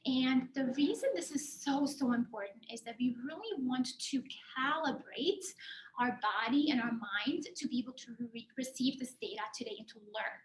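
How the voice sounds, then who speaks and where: A woman with a Bosnian-German accent presents a coaching workshop in a calm, reflective way, with plenty of fillers. Her voice sits high. The room around her is echoey. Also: moderate pace. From Bosnia, raised in Germany. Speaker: career and life coach presenting. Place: classroom in a coworking space.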